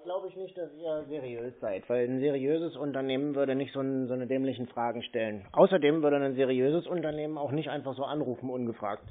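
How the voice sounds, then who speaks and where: A man's voice: mid-range voice, brisk, few pauses. Mocking man on a tinny line. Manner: mocking, blunt, sarcastic. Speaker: scam-baiter prank call host. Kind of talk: confrontational phone conversation. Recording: tinny narrow phone-like sound.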